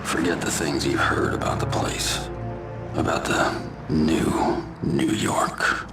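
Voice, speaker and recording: A brutal, brooding vigilante from a noir action game. His voice is extremely low and gravelly, hushed and deadpan, with short, terse phrasing over loud ominous music. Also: takes it slowly, heavily acted. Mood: grim, brooding. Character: grim, brutal middle-aged male vigilante antihero. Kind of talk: noir video game cutscene acting and narration. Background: scary music.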